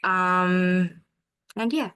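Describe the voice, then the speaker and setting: A young woman in her late teens from Morocco, a high school student answering informally on a video call, hesitant and searching for words. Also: fairly even intonation, drawn-out words, a bit bright, clear, resonant tone.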